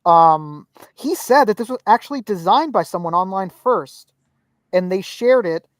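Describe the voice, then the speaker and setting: A young adult co-hosting a casual podcast, talking in a relaxed, rambling way with plenty of fillers and casual swearing. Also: medium pitch, a little slow, no room sound. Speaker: podcast co-host. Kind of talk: casual chat about action figures.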